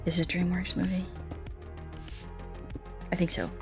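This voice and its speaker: A woman's voice: lowish voice, flat delivery, a little slow. A woman chats casually as she streams a game, with music playing behind her. Her manner is goofy and playful, and she rambles along in an easygoing conversational style. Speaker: game livestreamer. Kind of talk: casual livestream chat while playing.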